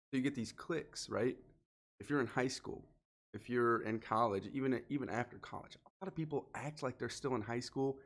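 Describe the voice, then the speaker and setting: A man hosting a solo motivational podcast, talking earnestly and directly to the listener, a little sniffly and congested from allergies. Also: mid-range voice. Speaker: podcast host.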